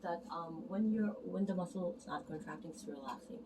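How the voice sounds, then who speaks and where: A woman's voice: on the low side, brisk. A woman massage therapist talking casually and earnestly to camera, rambling and correcting herself as she goes. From Canada immigrant, arrived as a teen. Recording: distant mic, noticeable background noise.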